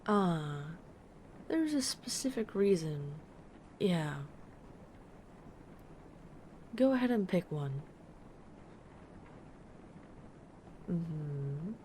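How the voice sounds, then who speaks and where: A woman playing a gentle, affectionate dragon friend in an intimate comforting audio roleplay, speaking softly and tenderly to the listener. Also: on the low side, very animated, slight background noise. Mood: soft, delighted. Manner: soft-spoken, tender, unhurried reassurance. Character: gentle, affectionate female dragon friend who loves soft, cuddly plushies.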